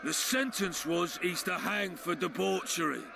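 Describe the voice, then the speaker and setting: An actor playing a coarse, bullying town guard in a video game, barking threats. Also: male voice, sits high, a little slow, gravelly, noisy background, full character voice. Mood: contemptuous.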